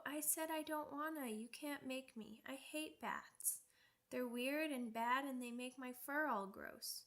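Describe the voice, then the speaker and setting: Woman voicing a high-pitched childlike werewolf pup. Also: fairly bright tone. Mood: stubborn, protesting. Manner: childish wording, halting, repetitive, blurting. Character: childlike young werewolf pup, excitable, stubborn, orphaned from pack. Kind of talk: ASMR character roleplay audio drama.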